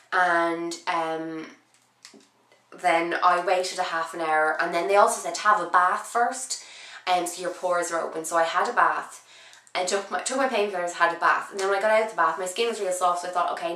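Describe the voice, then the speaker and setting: A young woman chats to her camera while ill, her voice roughened and sitting lower than usual for her. She rambles, restarts sentences and fills gaps with ums. The sound bounces around an echoey room. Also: normal speed, bright, forceful tone. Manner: chatty, rambling, frequent "um" and restarts. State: sick, voice husky and low. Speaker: beauty vlogger. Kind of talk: casual chat to camera.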